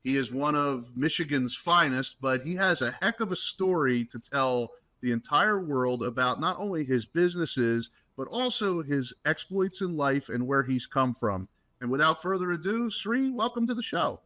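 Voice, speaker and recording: A man's voice: fairly high pitch, expressive, moderate pace, pushed and bright, no room sound. A man hosting a podcast, upbeat and enthusiastic as he talks with his guest. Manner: upbeat, enthusiastic, laughs easily. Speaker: podcast host. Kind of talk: casual interview hosting.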